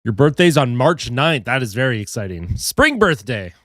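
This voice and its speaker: A man's voice: medium pitch, sing-song, moderate pace, a bit bright, no room sound, studio quality. A young man with a head cold and a stuffed-up voice, chatting casually on a hockey live stream, greeting viewers and reading their comments. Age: young adult. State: a little tired.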